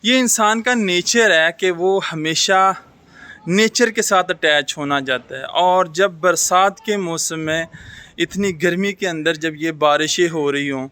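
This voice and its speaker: A man's voice: a little high, measured pace, bright, forceful tone, clean tone. A man vlogging in a casual, reflective, advice-giving way, mixing English words into his speech. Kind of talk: casual chat to camera. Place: outdoors in rainy weather.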